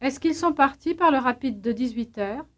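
A woman acting as a language instructor, reading out scripted drill questions in a clear, measured way. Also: sits high, animated. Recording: dull lo-fi language tape.

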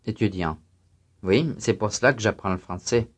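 A man reading scripted student answers and exercise announcements in a language drill, careful and even. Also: fairly low pitch, moderate pace.

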